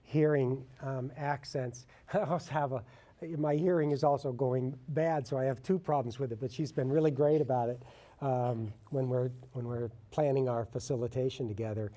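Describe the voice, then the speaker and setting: A man's voice: medium pitch, fairly even intonation, moderate pace, mellow. A man facilitating a panel, speaking informally in an encouraging, conversational way. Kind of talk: informal moderating.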